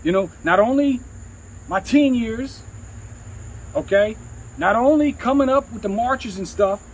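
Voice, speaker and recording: A man's voice: very high voice, animated, a little slow, slight background noise. A middle-aged man, a rapper telling career stories in a casual, slangy, profane way, repeating phrases for emphasis. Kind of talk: casual storytelling chat.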